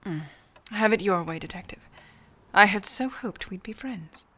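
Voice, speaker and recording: Femme fatale starlet for noir radio drama, female actor: seductive, poised, teasing, mid-pitched voice. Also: expressive, moderate pace, fairly bright tone, husky. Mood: cool, taunting. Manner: coy, teasing, poised and formal. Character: seductive, mysterious, self-possessed Hollywood starlet femme fatale. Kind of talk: film noir radio play acting.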